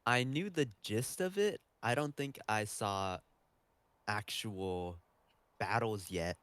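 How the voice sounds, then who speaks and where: A man's voice: lowish voice, takes it slowly, a bit bright, clean tone, dry recording. A man speaking casually as a podcast guest, answering interview questions in a conversational style.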